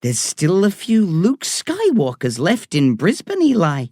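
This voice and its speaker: A reader voicing a blunt but kind woman doctor with an Irish accent, earthy and warm in her talk. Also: mid-range voice, wildly swooping pitch, measured pace, dry recording, clean studio sound, voice pushed up. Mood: earnest. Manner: salty, earthy talk said with warmth. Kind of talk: audiobook character reading.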